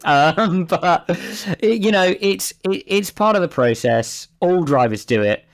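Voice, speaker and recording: A man's voice: high-pitched, lively intonation, measured pace. A man talking as a racing driver and pundit, giving conversational, discursive analysis in an emphatic way.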